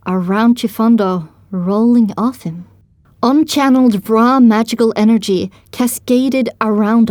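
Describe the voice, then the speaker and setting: A woman's voice: on the high side, darkish tone, no room sound. A woman reading first-person dramatic story narration for an audio drama.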